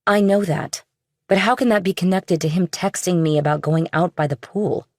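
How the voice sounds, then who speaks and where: A woman's voice: lowish voice, moderate pace, no echo, studio quality, close to an everyday voice. A woman voicing an evasive, nonchalant young woman in an audiobook, casual and a little defensive. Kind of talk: audiobook character dialogue.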